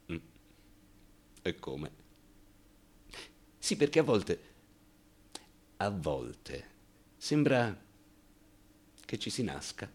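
Deep-voiced anxious, ironic man. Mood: wry, reflective, then nervous, confiding. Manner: halting, rhetorical asides, swings from muttering to outbursts. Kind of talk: theatrical radio monologue.

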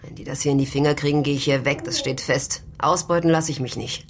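An actress in a German radio drama playing a tough, guarded prostitute who talks curtly and bluntly. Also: female voice, deep voice, steady tone, quick pace, a crowd in the background. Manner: curt, wary, blunt. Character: tough, guarded red-haired brothel prostitute, streetwise and proud.

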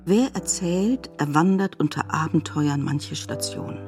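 Lowish-voiced woman narrating literature. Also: lively intonation, unhurried, music in the background. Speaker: narrator of a literary radio adaptation.

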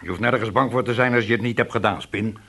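An actor playing a senior police chief in a Dutch radio play, fatherly but unbending, speaking with blunt, measured authority. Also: fairly low pitch, noisy background, lightly acted. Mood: firm.

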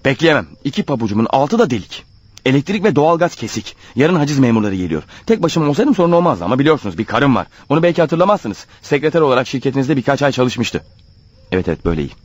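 A desperate, wronged employee turned amateur burglar in a radio play. He mutters his thoughts aloud, nervous and hesitant, in a medium-pitched voice. The recording is dull and lo-fi, with music playing underneath. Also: a little mellow, husky. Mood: rehearsed pleading. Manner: hesitant, muttering to himself, rehearsing and exclaiming.

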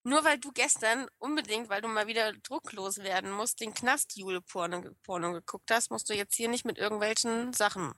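A woman with a regional German dialect co-hosting a casual streamed podcast, playful and quick to laugh as she reads out chat names. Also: medium pitch, a bit bright, no room sound. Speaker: podcast co-host.

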